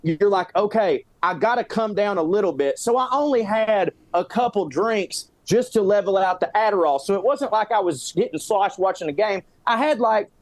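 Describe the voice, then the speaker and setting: High-pitched Southern man. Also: expressive, moderate pace, few pauses, no room sound. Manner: rambling, profane, self-justifying storytelling. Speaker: podcast co-host. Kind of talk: casual comedic chat.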